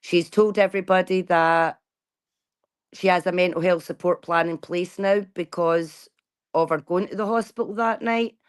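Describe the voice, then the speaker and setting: A woman in her forties talks straight to her audience in a casual, outspoken way. Her voice sits a little low for a woman, and she swears without holding back. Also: steady tone, moderate pace, clean tone. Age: 40s. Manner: blunt, outspoken, swears freely. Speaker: vlogger. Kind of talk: casual chat.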